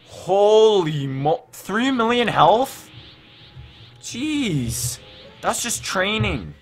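A man's voice: sits high, very animated, drawn-out words. A young man giving casual gameplay commentary, rambling and thinking aloud as he hosts a gaming video. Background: music.

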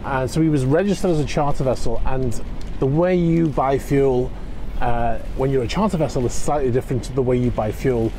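Man in his forties, selfie vlogger on a dock, mellow voice, faint outdoor noise, loose rambling delivery. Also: medium pitch, a little fast. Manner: chatty, rambling, with frequent fillers and restarts. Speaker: yacht vlogger. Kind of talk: casual walk-and-talk vlog. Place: outdoor marina dock in cold wind. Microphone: phone held at arm's length, selfie framing.

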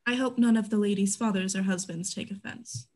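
A woman playing a worldly, defiant brothel madam, measured and articulate in a dramatic monologue. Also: mid-range voice, fairly even intonation, a little mellow, close to an everyday voice. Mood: wary, defiant. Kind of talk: staged reading of a dramatic monologue. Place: indoors at home on a video call.

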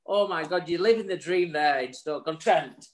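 A man's voice: on the high side, normal speed. Chatty man on a video call. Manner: chatty, swearing, jokey. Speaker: co-host. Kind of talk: casual chat show banter. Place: home room with virtual bar backdrop. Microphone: video call webcam.

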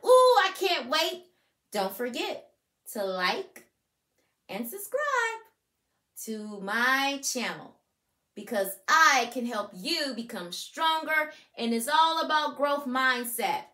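Animated, high-voiced woman reading to children. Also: slow. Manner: expressive, addresses young listeners as friends. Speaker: school counselor and read-aloud host. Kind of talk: animated children's storybook read-aloud and introduction. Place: furnished room at home, indoors.

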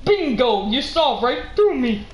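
A woman's voice: sits high, big pitch swings, a little slow, pitched above the performer's own voice. A woman voicing a playful, mischievous male skeleton showman from a spooky video game, with theatrical delivery. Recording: roomy echo, game music behind.

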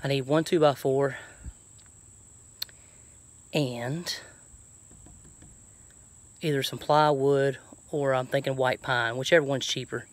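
A middle-aged man with a Southern American accent talks casually into a handheld phone. He has a folksy way of thinking out loud as he works, at an average pitch for a man. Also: flat delivery, takes it slowly, pauses often. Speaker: truck camping vlogger. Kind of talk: casual DIY chat to camera. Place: outdoors and inside a truck bed by an open garage. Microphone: handheld phone at arm's length.

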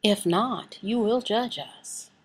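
A woman voicing a signed sermon as an interpreter, speaking deliberately, phrase by phrase with pauses. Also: mid-range voice, slight background noise. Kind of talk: sermon and prayer, voiced from sign language.

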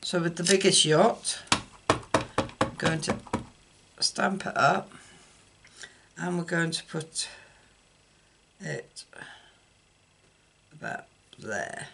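An older woman giving an unscripted card-making demonstration, haltingly thinking aloud as she works at a table indoors. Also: deep voice, big pitch swings, fairly bright tone. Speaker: craft tutorial host. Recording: clear background noise, clicks.

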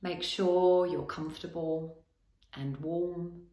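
A woman guides a body scan meditation at a very unhurried pace. Her voice sits low, and she gives gentle instructions in short phrases, leaving long pauses between them. The sound is noticeably roomy, with an echo around the voice. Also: fairly even intonation. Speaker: guided relaxation and movement teacher.

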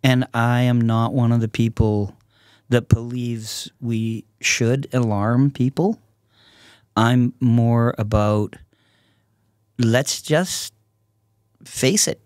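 A man in his sixties talks in an unhurried, halting way, as if working out each thought. His voice is on the low side and recorded dry and close at a studio microphone. The style is casual, reflective podcast conversation. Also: fairly even intonation, darkish tone, no echo. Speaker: podcast guest, artist and songwriter. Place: small podcast studio with curtains. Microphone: close podcast microphone on a stand.

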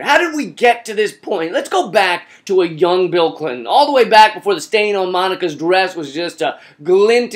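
A high-voiced American man hosts a comedy rant to camera. He speaks with biting sarcasm and heavy emphasis, like someone delivering a satirical monologue. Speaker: host of a satirical political comedy web show.

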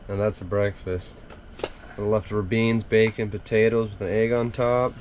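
A man's voice: on the low side, level tone, moderate pace, darkish tone. Young North Dakotan outdoorsman, easygoing jokey vlog chatter, noisy camera audio, tent. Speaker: vlogger. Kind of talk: casual outdoor vlog chat. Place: inside an ice fishing tent.

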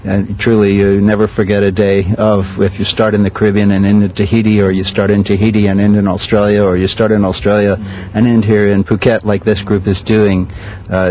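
An older man with a low, fairly flat voice speaks in a measured, unhurried way and pauses often to search for words. He answers an interviewer's questions outdoors. Faint background noise sits under his voice. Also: low-quality recording. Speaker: sailing school director. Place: outdoors at a regatta.

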